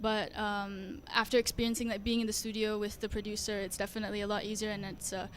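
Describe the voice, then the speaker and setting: A woman's voice: medium pitch, flat delivery, fast talker, slight background noise. A teenage girl from Singapore, a young singer answering interview questions in a shy, hesitant way with many fillers. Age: teens.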